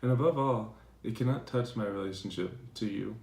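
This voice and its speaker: A man playing a defensive, aggrieved professor in a dramatized letter reading, speaking in a formal, measured way. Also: on the low side, creaky, a little mellow. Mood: insistent. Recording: roomy, echoing recording.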